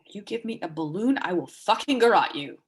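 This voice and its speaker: A woman in a dramatic reading, playing a sharp, foul-mouthed, vulnerable woman with a halting, blunt delivery. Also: medium pitch, animated, husky. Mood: angry, bitter. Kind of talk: dramatic reading of a stage play dialogue.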